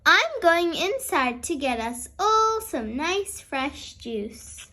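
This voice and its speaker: A woman's voice: high voice, big pitch swings, slow, bright, forceful tone, exaggerated voice. A woman voicing a kindly, merry old granny pig in a children's storybook.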